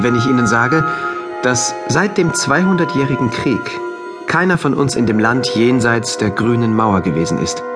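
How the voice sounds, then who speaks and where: A man playing an orderly, wonderstruck engineer who narrates his diary of a regimented future in measured, deliberate phrases, in a radio play. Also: medium pitch, lightly acted. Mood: proud, earnest. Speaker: narrator of an audio drama. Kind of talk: literary first-person narration in a radio play. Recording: music behind the voice.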